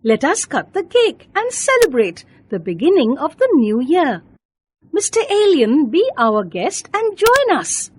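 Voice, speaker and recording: A woman's voice: sits high, huge pitch swings, a little slow, darkish tone, dry recording, full character voice. A woman voicing an eager, brave young girl space traveller in a children's story, wide-eyed and earnest. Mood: cheerful.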